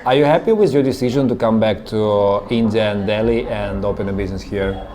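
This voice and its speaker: A man's voice: lowish voice, brisk, mellow. A man interviewing a business owner with brief, probing questions.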